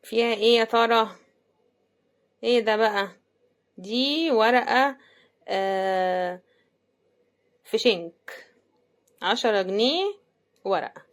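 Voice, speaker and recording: A woman's voice: on the high side, slow pace, pauses often. A woman with an Egyptian accent giving a casual, chatty running commentary as she unboxes things, often thinking aloud. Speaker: unboxing video host.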